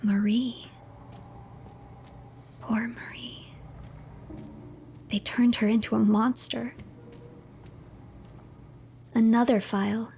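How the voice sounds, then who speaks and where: A woman streamer narrating a game playthrough as a third-person story, with a hushed, dramatic storyteller's delivery and a creaky voice. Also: fairly high pitch, level tone, very slow, pauses often, slight background noise.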